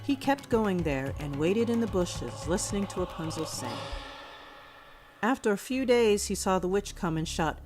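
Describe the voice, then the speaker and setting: A woman reading a scripted children's fairy tale as a measured storyteller. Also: mid-range voice, lively intonation. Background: music.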